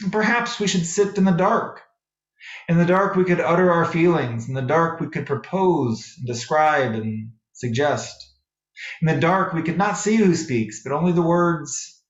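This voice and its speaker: A man giving a sermon as a minister, speaking in measured, deliberate phrases with pauses. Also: a little high, echoey room, dull audio. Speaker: minister preaching to a congregation. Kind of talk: sermon with poetry readings. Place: echoey worship space.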